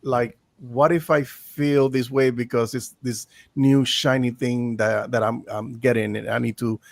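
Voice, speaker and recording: A man's voice: mid-range voice, steady tone, unhurried, creaky, no room sound. A man in his early fifties, a guest on a live review, talking in a rambling, self-correcting way. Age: 50s. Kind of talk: casual chat.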